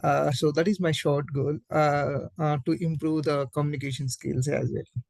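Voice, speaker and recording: A man answering as a student in an online class, halting as he searches for English words. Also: on the high side, level tone, creaky, darkish tone, no echo, clean studio sound. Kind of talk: hesitant spoken answer to teacher.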